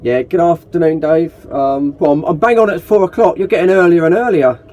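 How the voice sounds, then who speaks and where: A man's voice: a little high, fairly quick, muffled recording. A man chatting casually over CB radio as a group net operator, matey and polite, using call signs and radio jargon. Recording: noisy CB radio transmission with hiss.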